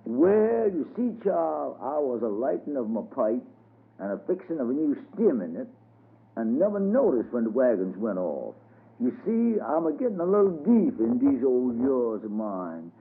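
A man voicing a very old, frail man who rambles gently, on a tinny radio broadcast. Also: medium pitch, sing-song, gravelly, voice pushed up. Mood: rambling. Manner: slow, rambling old-timer talk. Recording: tinny phone-like radio sound.

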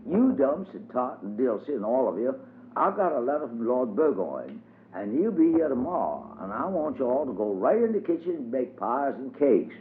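A man's voice: mid-range voice, sing-song, normal speed, voice pushed up, lightly acted. A man voicing a bossy little girl who gives orders to the others. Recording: tinny phone-like audio, faint hiss.

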